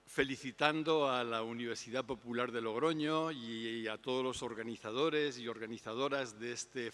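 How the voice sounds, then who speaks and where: An older man giving an unhurried, reflective public talk on spirituality. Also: medium pitch, fairly bright tone. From Spain. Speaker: invited speaker. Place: lecture hall. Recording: long hall reverb.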